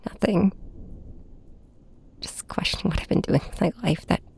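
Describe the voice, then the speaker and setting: A heartbroken young superhero, acted by a woman, confides in a nemesis in an intimate audio drama. The voice is breathy and often sinks into a whisper, with creaky, frayed edges. The delivery is halting and emotionally raw, recorded close to the microphone. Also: on the low side, a little mellow. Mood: weary, bitter. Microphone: close microphone, dry.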